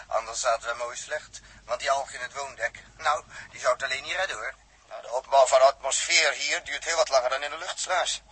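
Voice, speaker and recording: An actor playing a practical, good-natured astronaut crew leader in a 1960s radio drama, talking in a brisk, colloquial way. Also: male voice, mid-range voice, pushed and bright, breathy edge, dry recording, poor audio.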